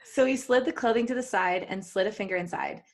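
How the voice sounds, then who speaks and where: Woman podcaster reading fanfic out loud, giggly and playful, chatty little asides. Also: mid-range voice, fast talker, slight background noise. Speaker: podcast host. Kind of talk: reading fan fiction aloud with chatty asides.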